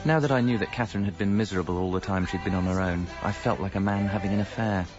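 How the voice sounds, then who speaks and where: A man's voice: sits low, fast talker, natural voice. A man acting a guilt-ridden married father in a British radio comedy drama, in a British accent, with a wry, confessional manner. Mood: rueful, confessional. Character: guilt-ridden married father narrating his double life. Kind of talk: scripted first-person narration and acting in radio comedy drama. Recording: dull, muffled audio with music.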